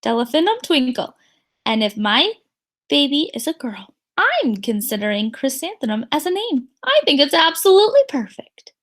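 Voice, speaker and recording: Lady playing a nice music teacher in a storybook, encouraging, takes her time, highish voice. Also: big pitch swings, moderate pace, a bit bright. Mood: gentle, reassuring. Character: kind, reassuring woman music teacher, expecting a baby.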